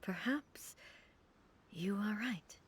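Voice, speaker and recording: An actress playing a composed, regal female ruler in a video game, speaking formally and gently with a breathy voice. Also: medium pitch, a little hiss or hum. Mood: gentle, nostalgic. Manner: calm, formal, measured. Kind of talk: scripted video game voice acting.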